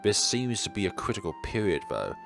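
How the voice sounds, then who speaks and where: Low, animated man reading a story aloud. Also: fairly quick, creaky, soft background music. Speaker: video game player reading the story aloud. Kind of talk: scripted narration read aloud over a visual novel.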